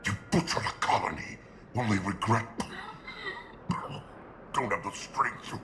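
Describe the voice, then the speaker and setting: An actor playing a bitter, wounded alien survivor, weak and strained, spitting clipped accusations in a rough voice. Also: male voice, unhurried, background noise, natural voice. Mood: bitter, scornful. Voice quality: very deep, rough, gravelly. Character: bitter, dying alien survivor, hostile and scornful.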